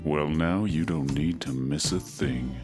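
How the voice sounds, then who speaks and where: A man reading a scripted advertisement as an announcer, in a smooth sales style. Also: a bass voice, a little slow. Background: music.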